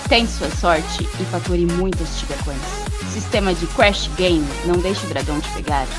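A woman's voice: fairly low pitch, normal speed. A woman with a Brazilian accent delivering a promotional voice-over as an advert announcer. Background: electronic music.

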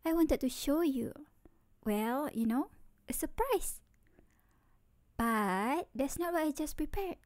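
A woman's voice: high-pitched, expressive, slow, pauses often, darkish tone, no room sound. A woman playing a sweet, playful, doting young girlfriend in an ASMR roleplay, cutesy and tender, close to the microphone. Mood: excited, loving. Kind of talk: ASMR roleplay, scripted acting.

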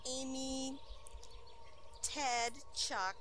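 An actress playing a sweet, chatty elderly retirement home resident in an audio drama, genteel and eager. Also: female voice, high voice, extremely slow, a bit bright, exaggerated voice. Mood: earnest. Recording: faint noise, birdsong behind.

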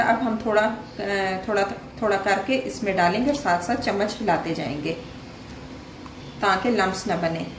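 Woman cooking host in an echoey room. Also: mid-range voice. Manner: friendly, explains each step plainly with small pauses. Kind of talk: step-by-step recipe narration. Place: home kitchen. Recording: echoey room, voice a bit distant, faint noise.

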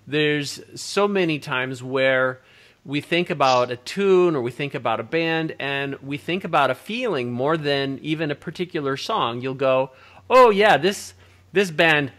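A man's voice: a little high, unhurried, fairly bright tone. An older man hosting a video call interview, musing at length between his questions. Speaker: interviewer host. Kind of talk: casual interview chat. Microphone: laptop video call.

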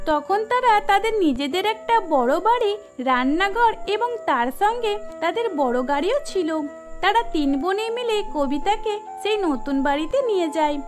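A woman's voice: extremely high pitch, animated, a little slow. A woman narrating a scripted cartoon story, with music under her voice.

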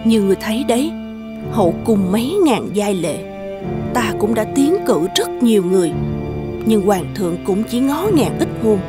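A woman's voice: mid-range voice, animated, moderate pace, music in the background, lightly acted. A woman voicing a jealous, imperious empress in a historical court cartoon. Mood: imperious, frustrated. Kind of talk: dubbed historical cartoon acting.

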